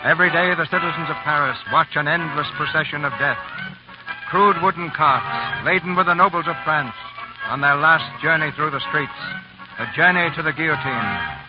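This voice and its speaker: A man presenting a radio theatre in formal 1930s style, narrating in a grand, deliberate, dramatic manner. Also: fairly high pitch. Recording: muffled 1930s radio recording, music behind.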